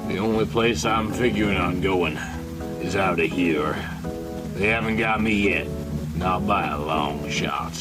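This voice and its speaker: A man's voice: low voice, measured pace, loud music behind the voice, pitched below the performer's own voice. A man voicing a desperate, ruthless, defiant convict with tough, slangy gangster talk in a dramatic horror story reading. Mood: defiant, scheming.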